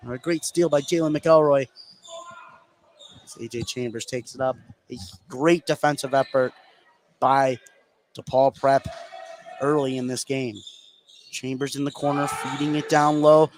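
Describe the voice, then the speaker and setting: A man doing live play-by-play basketball commentary for radio, getting excitable on big plays. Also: mid-range voice, unhurried, long pauses. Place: indoor basketball gym. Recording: noisy live gym broadcast. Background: loud game ambience.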